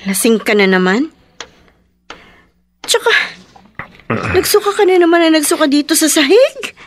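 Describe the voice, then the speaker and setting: An actress playing a weary, anguished young adult daughter of a drunkard in a Filipino radio drama, with emotional, dramatic delivery. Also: female voice, high-pitched, sing-song, normal speed. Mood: exasperated.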